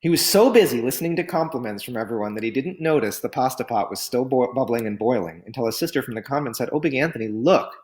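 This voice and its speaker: Very animated man reading a storybook. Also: medium pitch, fast talker, few pauses. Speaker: picture book reader. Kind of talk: expressive read-aloud story narration.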